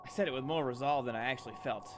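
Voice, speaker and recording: A man's voice: mid-range voice, rapid-fire, music in the background, natural voice. A man voicing a sarcastic, dramatic young heroine as he reads visual novel narration aloud. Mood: sardonic, dramatic. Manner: wry, melodramatic.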